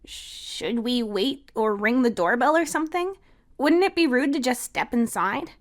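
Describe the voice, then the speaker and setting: A woman's voice: a little high, animated, unhurried, pitched above the performer's own voice. Woman voicing a whiny, easily embarrassed teenage girl. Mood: grumbling, nervous. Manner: whiny, grumbling.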